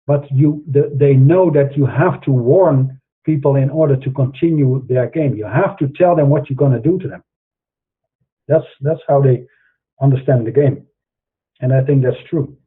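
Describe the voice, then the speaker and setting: A man's voice: mid-range voice, normal speed, husky. A middle-aged man with a Dutch accent, hosting an online show and speaking earnestly in a preachy monologue with frequent restarts. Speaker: host. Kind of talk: monologue to camera. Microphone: webcam or online call. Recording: tinny phone-like line.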